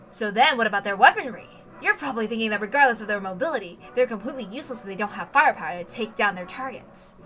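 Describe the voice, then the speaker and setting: A woman's voice: fairly high pitch, a bit bright. A woman reading a story aloud as dramatic scripted narration, with emphatic, theatrical delivery. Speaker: reader of a visual novel.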